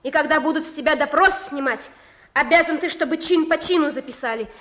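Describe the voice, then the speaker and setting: A high-voiced young woman in love in a Russian radio play. She is devoted and stubborn, and speaks in plain colloquial phrases that both plead and insist. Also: fairly quick, a bit bright. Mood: firm, coaxing. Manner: pleading yet resolute, colloquial. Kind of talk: Russian radio drama acting.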